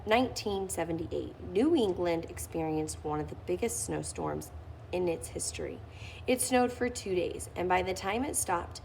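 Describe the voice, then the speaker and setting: A woman's voice: medium pitch, creaky, a little hiss or hum, rough recording. A woman reading a children's picture book aloud, lifting her voice expressively on key phrases. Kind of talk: read-aloud storytelling.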